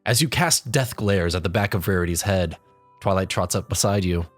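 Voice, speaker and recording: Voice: sits low, normal speed, no echo. Wry storyteller with music underneath. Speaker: fan fiction narrator. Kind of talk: comic second-person scripted narration. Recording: music behind the voice.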